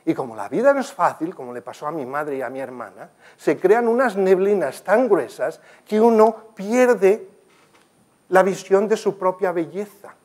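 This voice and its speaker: A man's voice: high voice, moderate pace. An older man giving a talk with slides in a conference room, telling stories earnestly and gesturing as he speaks. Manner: earnest, storytelling, animated gestures, some restarts. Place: conference room with projector screen.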